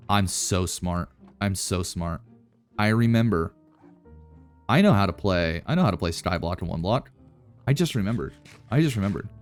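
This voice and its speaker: A man's voice: deep voice, darkish tone. A man giving casual, rambling gameplay commentary and answering chat, joking about himself and then boasting. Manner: rambling, self-deprecating, playfully cocky. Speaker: Minecraft YouTuber.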